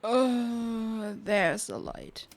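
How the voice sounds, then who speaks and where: A woman's voice: a little high, very slow. A woman streaming a video game live, thinking aloud in casual, sparse asides to herself.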